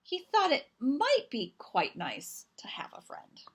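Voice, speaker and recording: Very slow, high-voiced woman storyteller. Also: wildly swooping pitch, husky. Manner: deliberate, dramatic emphasis. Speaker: storyteller reading a picture book aloud. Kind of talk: expressive children's story narration.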